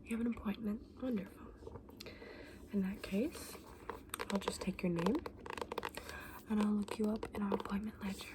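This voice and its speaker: A woman playing a kindly, eccentric fantasy shopkeeper in an ASMR roleplay, whispering softly and breathily with gentle, polite, quaint phrasing. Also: mid-range voice, steady tone, normal speed, pauses often, lightly acted. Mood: welcoming, curious. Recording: clearly audible background noise.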